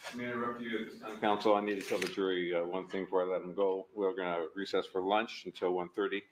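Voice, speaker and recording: A judge in court giving rulings and instructions to counsel and the jury in a measured, formal way. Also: male voice, sits low, steady tone, reverberant. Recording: noisy courtroom feed.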